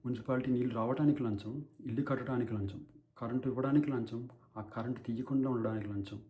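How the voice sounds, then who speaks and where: Man acting a mellow-voiced, righteous courtroom crusader. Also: mid-range voice, fairly even intonation, fast, natural voice. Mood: indignant. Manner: impassioned, declamatory, rhetorical. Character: righteous, anguished male crusader against bribery addressing a judge. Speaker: actor performing a film courtroom monologue. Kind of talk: dramatic theatrical courtroom monologue.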